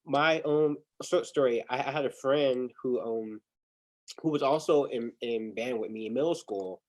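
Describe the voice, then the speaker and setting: A man's voice: medium pitch, measured pace, darkish tone, poor audio. A young man hosting a livestreamed interview on a video call, chatty, often repeating words as he restarts. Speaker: interview host.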